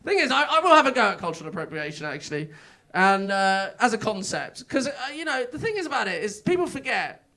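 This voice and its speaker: Young English male stand-up, high, bright and a bit gravelly, chatty and self-mocking. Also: very animated, fast. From England. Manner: chatty, self-mocking, with frequent filler words. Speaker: stand-up comedian. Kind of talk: stand-up comedy set. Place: comedy club stage.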